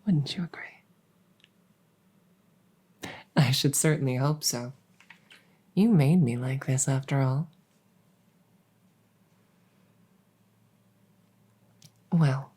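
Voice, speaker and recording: A woman playing a devoted, doting vampire maid in a soft-spoken ASMR roleplay, gentle and deferential, often slipping into a whisper. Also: sits low, breathy edge, full character voice. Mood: adoring, attentive. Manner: gentle, unhurried, deferential, formal.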